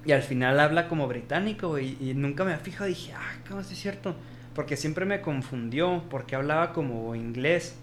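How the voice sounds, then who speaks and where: A man's voice: on the high side, fairly quick, slight background noise. A man with a Mexican accent in a casual film discussion, retelling scenes and acting out the characters' quoted lines. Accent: Mexican Spanish. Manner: animated storytelling, acts out quoted dialogue, frequent restarts.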